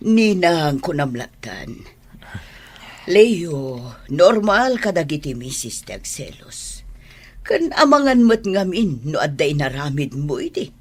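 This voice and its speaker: A woman's voice: sits low, sing-song, slow pace, darkish tone, breathy edge, heavily acted. An actress with a Filipino accent playing a friendly, sensible woman giving advice in a radio drama. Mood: friendly, concerned.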